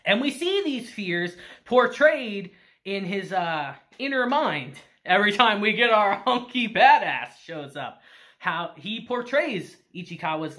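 A man in his thirties reviewing anime on camera in a small room, animated and gushing, laughing often. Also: high-pitched. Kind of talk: excited casual review.

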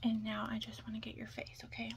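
A young woman speaks in a hushed mix of whisper and soft talk for an ASMR roleplay. Her voice sits a little high, and she sounds chatty and hesitant. She is close to the mic, with a faint hiss behind her. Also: monotone, fast, vocal fry.

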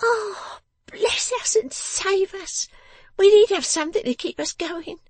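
A woman voicing a sharp-tongued, bossy, vengeful young woman in a storybook, with emphatic, snapping speech. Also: very high-pitched, a little slow, dry recording, voice pushed up. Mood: brooding, vengeful. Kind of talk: dramatised storybook reading.

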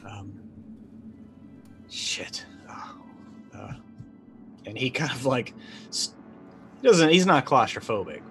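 A man in his forties improvising casual narration as a tabletop game player, halting as he thinks aloud. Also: mid-range voice, very animated, soft background music. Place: small indoor room, remote stream.

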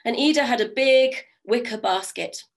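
A woman in her fifties with a croaky voice from a cold, telling folk stories in a chatty way on a video call from home. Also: on the high side, normal speed. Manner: warm, chatty, with many fillers. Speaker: storyteller. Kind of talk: oral storytelling. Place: home room. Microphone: video call webcam.

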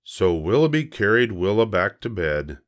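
An older man calmly narrating a bedtime storybook in a measured reading voice. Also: on the low side. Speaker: storybook reader.

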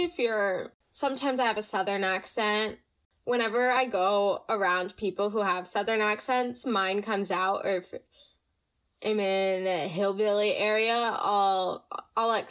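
A young woman hosting a podcast, chatting casually and reading listener stories, her voice slightly nasal from allergies. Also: mid-range voice. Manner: chatty, animated, reads listener stories aloud. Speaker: podcast host. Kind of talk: casual storytime chat.

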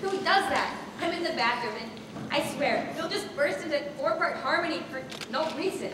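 A teenage girl acting an awkward, nervous high school student in a stage play. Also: lively intonation, moderate pace. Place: large school theatre stage, far camera. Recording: distant echoey auditorium recording, loud noise.

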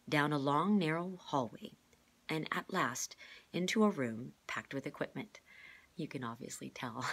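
A middle-aged woman reads a children's novel aloud in a low, husky voice. She narrates like a teacher reading to a class. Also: fairly bright tone. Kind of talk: read-aloud storybook narration. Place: indoor room, classroom.

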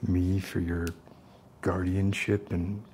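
A middle-aged man acting an unstable, falsely gentle survivalist in a soft-spoken ASMR roleplay, rambling haltingly. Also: sits low, flat delivery, a little hiss or hum. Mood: tender, earnest. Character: unstable, falsely gentle self-appointed protector, survivalist loner.

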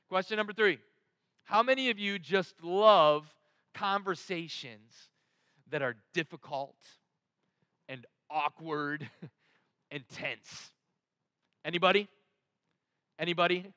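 A male pastor delivers a conversational sermon in a high, bright and forceful voice. He leans emphatically on key words and sets them apart with deliberate pauses. The sound is close and dry, from a headset microphone. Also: no echo. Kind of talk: sermon to an online congregation. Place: large dark auditorium stage, indoors.

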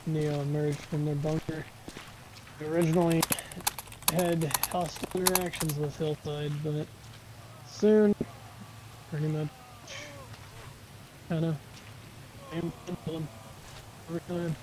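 A man's voice: on the high side, level tone, measured pace, long pauses. A man speaking casually in character as a player in an online roleplay game. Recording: noisy game voice chat, keyboard typing.

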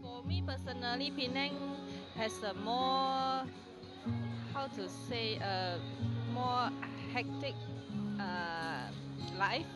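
Slow-spoken Malaysian woman, local. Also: fairly high pitch, over loud music. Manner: laughing, unhurried, with pauses. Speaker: local resident, interviewee. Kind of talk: casual street interview.

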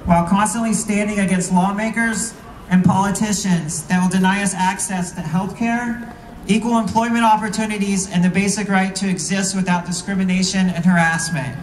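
A man delivering a prepared rally speech in an earnest, deliberate way. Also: high voice, steady tone. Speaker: rally speaker. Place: outdoor rally, recorded from within the crowd. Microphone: PA heard from far camera. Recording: distant, echoey outdoor PA with noise.

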